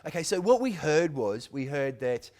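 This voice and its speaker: A man's voice: a little high, sing-song, normal speed, darkish tone. A man hosting a boxing show, leading an upbeat casual rundown of fight week. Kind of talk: casual sports chat.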